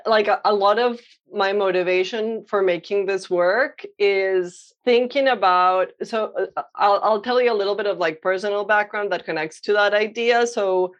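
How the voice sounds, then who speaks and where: A woman's voice: medium pitch, normal speed, muffled recording. Thoughtful woman painter from Colombia. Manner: thoughtful, many fillers and restarts. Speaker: painter, interviewee. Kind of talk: reflective conversational interview.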